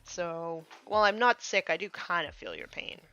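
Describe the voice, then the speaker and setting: A woman chatting casually on a painting live stream, a little congested from allergies, muttering soft asides as she works. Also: fairly low pitch, moderate pace, clean tone, no room sound. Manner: soft muttering asides, trailing off mid-task. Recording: slightly dull, dry sound.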